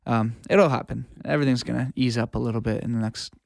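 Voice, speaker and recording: A man's voice: medium pitch, steady tone, quick pace, creaky, no echo, studio quality. A young man talking casually as an interview guest, tentative and thinking aloud. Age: young adult. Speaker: podcast guest, music producer and audio engineer. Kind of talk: casual chat.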